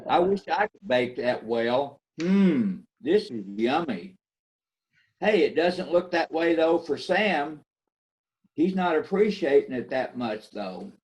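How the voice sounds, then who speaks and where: Folksy dinner guest, read by a man; slowish, lively swings, raised pitch, amateur radio play. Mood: folksy chatting. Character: easygoing folksy male dinner party guest. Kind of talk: amateur radio-style readers theater.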